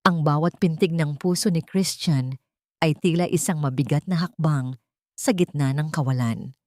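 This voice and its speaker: A woman with a Filipino accent narrating a dramatic story in a solemn, measured way, close to the microphone. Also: sits low, warm tone, dry recording.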